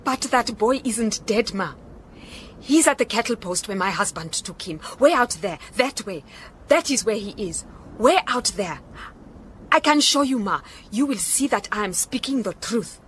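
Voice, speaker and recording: An actress playing a desperate accused wife with a Southern African accent in a radio drama, pleading insistently. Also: female voice, on the high side, lively intonation, moderate pace, fairly bright tone. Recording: noticeable background noise.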